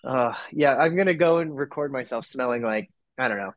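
A man chats casually as a podcast co-host, his voice a little stuffy and nasal from a cold. He rambles in a relaxed way, full of fillers and restarts. The sound is dry and close, with no room around it. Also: mid-range voice, brisk, no room sound. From United States. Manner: laid-back, rambling with many fillers. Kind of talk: casual chat.

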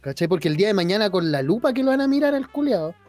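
A young man with a Chilean accent chatting about football in a relaxed, slangy way, full of swearing and lively asides. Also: high voice, fast, soft background music. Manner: slangy, swearing, animated storytelling. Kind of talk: casual football chat.

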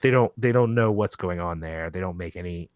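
Casual, rambling low-voiced man. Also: brisk, darkish tone, no room sound. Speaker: podcast host being interviewed as guest. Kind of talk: casual conversational interview talk.

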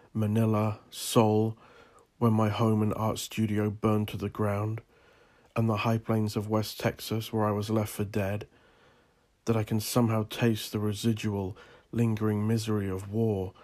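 Male narrator, low and gravel-edged, theatrical yet restrained in inflection, for solemn, deliberate scripted storytelling. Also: a little mellow. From Northern Ireland. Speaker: author narrating own story.

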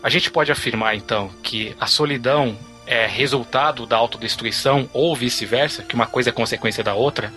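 A man's voice: medium pitch, brisk, sharp tone, soft background music. A man hosting a film podcast, reading the credits and steering the talk with questions. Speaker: podcast host. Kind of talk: casual podcast discussion.

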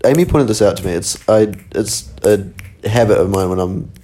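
A man with a New Zealand accent and a fairly low voice, chatting on a podcast. He sounds laid-back and easygoing, with casual swearing running through his talk. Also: normal speed, dark tone, a little hiss or hum. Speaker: podcast co-host. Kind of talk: casual chat.

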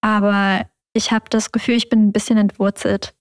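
A woman's voice: mid-range voice, level tone, normal speed, clean tone, no echo, studio quality. A young woman from Germany chatting casually as a podcast host. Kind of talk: casual interview chat.

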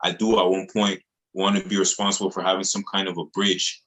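A man with a deep, low-pitched voice. He talks like an artist in a relaxed interview, earnest and slangy, circling back over his phrases as he makes a point. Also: brisk. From Montreal, Canada. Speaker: music artist being interviewed. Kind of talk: casual interview chat.

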